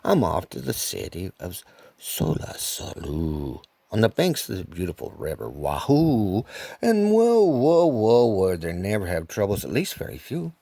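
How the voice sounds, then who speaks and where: Older man as a gravelly, bossy storybook traveller. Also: lowish voice, huge pitch swings, a little slow, mellow, no room sound, voice pushed down, heavily acted. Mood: eager, coaxing. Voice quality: gravelly, husky. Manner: insistent, repeats phrases. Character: bossy, cajoling camel-cart traveller from a storybook.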